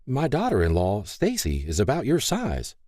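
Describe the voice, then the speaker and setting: A man's voice: medium pitch, wildly swooping pitch, moderate pace, warm tone, slightly rough, dry recording, clean studio sound, voice pushed up. A man voicing a kindly, candid older small-town wife in an audiobook. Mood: friendly, coaxing. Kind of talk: audiobook character dialogue.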